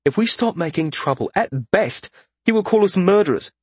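A man's voice: sits high, animated, moderate pace, warm tone, breathy edge, dry recording, voice pushed up. A man voicing an earnest, self-doubting young mage in an audiobook, with an indignant, pleading manner. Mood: anxious arguing. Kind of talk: audiobook character voice.

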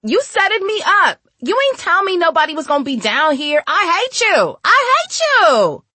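Snotty young girl played by a female narrator: high, animated, hot-tempered, slangy, full of attitude. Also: normal speed, no echo, heavily acted. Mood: furious, screaming. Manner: slangy, defiant, exclamatory.

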